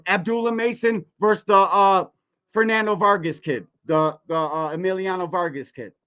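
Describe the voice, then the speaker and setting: A man in his forties with a fairly high voice, ranting on a livestream. He talks bluntly and emphatically, swearing freely and throwing out rhetorical questions. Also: normal speed, sharp tone, clear, resonant tone. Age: 40s. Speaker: podcast host reacting to a video. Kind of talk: heated, profane livestream commentary.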